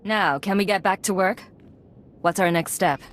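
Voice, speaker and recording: An actress playing a cool, confident covert operative in a video game, speaking in an assured, businesslike way. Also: female voice, mid-range voice, moderate pace, slight background noise, close to an everyday voice. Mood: firm, persuasive. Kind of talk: video game cutscene acting.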